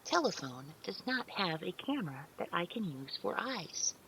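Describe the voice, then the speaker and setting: A woman's voice: on the low side, sing-song, moderate pace, creaky, a bit bright, a little hiss or hum, heavily acted, rough recording. A woman playing a polite, childlike artificial intelligence in an audio drama, speaking earnestly with precise, formal, literal wording. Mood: earnest, inquisitive.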